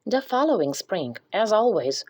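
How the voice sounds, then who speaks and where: A woman's voice: medium pitch, big pitch swings, moderate pace, darkish tone. A woman narrating a children's picture book as a scripted read-aloud. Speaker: storybook reader.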